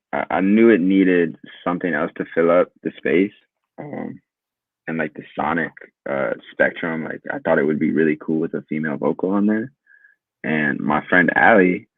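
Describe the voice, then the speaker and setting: Man, twenties, very deep, gravelly, husky, tinny phone-like sound, dry, rambling casual storyteller. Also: dry recording. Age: 20s. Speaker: guest musician. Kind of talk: casual interview chat.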